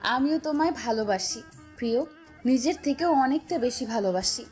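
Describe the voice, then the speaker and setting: A woman's voice: high voice, lively intonation, moderate pace, darkish tone, soft background music, pitched above the performer's own voice. A woman voicing an affectionate, teasing young wife in a romantic audio story, playful and tender in manner.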